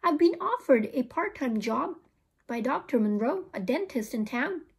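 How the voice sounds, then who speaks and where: A woman's voice: sits high, animated, normal speed, creaky, husky, heavily acted. A woman reading the part of a practical, firm mother in a storybook, explaining herself. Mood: matter-of-fact.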